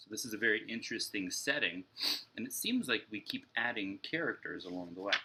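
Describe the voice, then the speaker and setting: A man's voice: fairly low pitch, animated, fairly quick, sharp tone, a little hiss or hum, poor audio. A young man explaining story structure to young children as a teacher, in a read-aloud lesson. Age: young adult.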